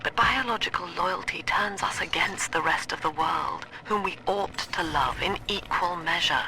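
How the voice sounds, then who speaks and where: An actress playing a cold, composed cult leader and psychiatrist villain in a video game, speaking in grave, precisely articulated, preaching monologues. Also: female voice, fairly low pitch, moderate pace, vocal fry, background noise. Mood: calm persuasion.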